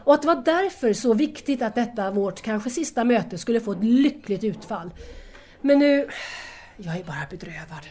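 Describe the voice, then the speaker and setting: A woman's voice: on the high side, animated, a little mellow. A woman playing a hurt, anxious young woman in an audio drama, speaking earnestly and formally to estranged lovers. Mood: grieving, disappointed. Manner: earnest, wounded, formal address.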